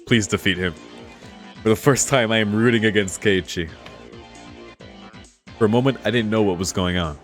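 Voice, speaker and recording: A man with a low voice talks over a game with music playing behind him. He commentates informally as he plays a visual novel, reading aloud and reacting with amusement in short exclamations. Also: normal speed, long pauses. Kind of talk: casual let's play commentary with read-aloud passages.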